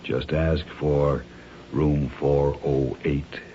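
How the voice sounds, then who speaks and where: An actor playing a calculating crime schemer in a radio mystery, measured, formal and condescending, with cool menace. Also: male voice, very deep voice, little pitch movement, dark tone, heavily acted. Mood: quietly threatening. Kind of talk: radio mystery drama acting. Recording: dull, hissy old radio recording.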